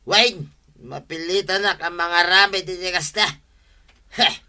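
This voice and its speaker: A man's voice: high voice, steady tone, measured pace, pushed and bright, heavily acted. A man playing an aggrieved, stubborn village complainer in a comedy radio drama, grumbling and exclaiming with an Ilocano accent.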